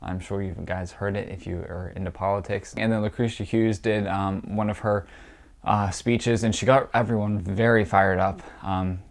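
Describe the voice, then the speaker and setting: A man's voice: fairly low pitch, steady tone, moderate pace, gravelly. A young man talking in casual political commentary, congested and nasal from allergies, opinionated and scornful with many fillers. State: congested, stuffy nose from allergies.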